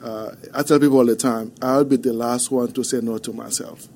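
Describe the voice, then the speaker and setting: A man from Haiti with a Haitian accent, a podcast guest telling his story in a soft, halting, earnest way. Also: medium pitch, fairly even intonation. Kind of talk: podcast interview. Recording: faint background noise.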